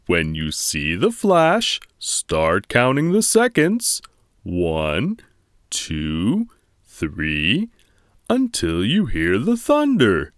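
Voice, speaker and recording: A man's voice: on the low side, no room sound, clean studio sound, exaggerated voice. Reassuring, knowing father bear acted by a male reader, emphatic and melodic, for a children's picture book. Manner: emphatic, explaining patiently.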